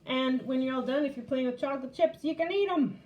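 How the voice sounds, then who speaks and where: A woman's voice: sits high, quick pace, a little mellow, highs cut off. A woman hosting a children's library storytime, casually showing a craft and game step by step in simple words.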